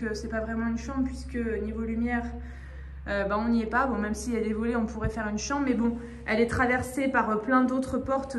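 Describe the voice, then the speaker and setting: A young woman, chatty and informal, giving a casual house tour as a vlogger filming on a handheld phone in empty rooms. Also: on the high side. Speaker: home renovation vlogger. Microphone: handheld phone or camera. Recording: echoey empty rooms, some background noise.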